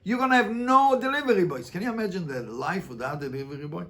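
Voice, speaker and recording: A man's voice: high-pitched, fast talker, fairly bright tone. A man teaching a Talmud class as a rabbi, reading and translating line by line with emphatic questions and repeated phrases. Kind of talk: interactive lesson, reading and translating a text line by line.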